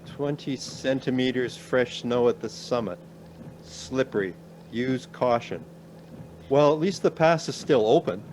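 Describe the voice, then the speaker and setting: Actor as older male professor, mid-pitched, formal, fussy, cautious, dry radio drama delivery, background noise. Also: unhurried, darkish tone, lightly acted. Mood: dry, measured. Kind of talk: naturalistic radio play acting.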